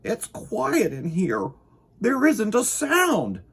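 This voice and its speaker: A man voicing a fretful clock shop owner from a storybook, exclaiming in a drawn-out, dramatic way. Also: high-pitched, wildly swooping pitch, gravelly, slightly rough, voice pushed up, exaggerated voice. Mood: dismayed, agitated. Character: fretful clock shop owner who loves cheerful noise.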